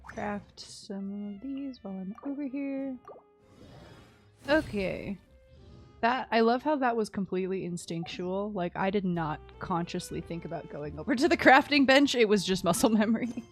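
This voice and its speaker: A woman's voice: mid-range voice, animated, moderate pace. A woman chatting casually and playfully on a game stream, often murmuring little sound words to herself. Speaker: live streamer. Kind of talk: casual gameplay stream chat. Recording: game music behind the voice. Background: soft video game background music.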